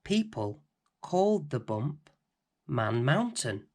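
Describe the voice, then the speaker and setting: A middle-aged man hosting and reading a children's bedtime story in a gentle, expressive way. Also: mid-range voice, slow, slightly rough. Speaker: storybook reader and host.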